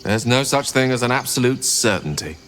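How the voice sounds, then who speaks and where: Actor as an animated, eloquent fantasy scholar man. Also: mid-range voice, big-room sound, a little hiss or hum, natural voice. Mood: knowing. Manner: erudite, theatrical, deliberate reasoning. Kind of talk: video game character dialogue.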